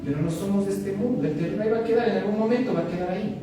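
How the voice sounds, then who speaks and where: A pastor preaches to his congregation in a fairly high voice, firing questions at them and calling for replies. He is heard from a distance in a very echoey church hall, with loud music playing underneath his words. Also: very fast. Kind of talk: sermon.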